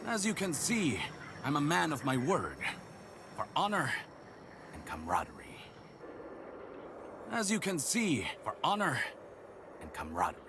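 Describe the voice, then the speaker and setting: A conniving, theatrical courtier in a fantasy game. The voice is fairly high and swoops dramatically as he declaims. Clear noise sits behind it. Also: huge pitch swings, a little slow, long pauses, full character voice. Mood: sly, declaiming. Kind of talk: video game cutscene drama acting.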